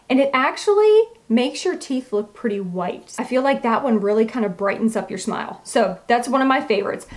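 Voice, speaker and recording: A woman chatting casually as a beauty vlogger, gushing and emphatic, with a slightly froggy voice. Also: fairly high pitch. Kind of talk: casual chatty product review to camera.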